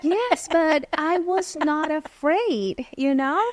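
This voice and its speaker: A woman in her thirties from Venezuela, a therapist, telling her story thoughtfully with many pauses and "um"s; Spanish is her first language. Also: high-pitched, dry recording. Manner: thoughtful, frequent "um" and "you know". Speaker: therapist guest. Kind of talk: reflective personal storytelling.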